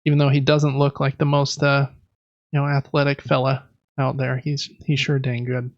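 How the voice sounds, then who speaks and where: A man's voice: medium pitch, monotone, moderate pace, dry recording, highs cut off. A man co-hosting a podcast in easygoing, casual chat, close on the microphone. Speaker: podcast co-host.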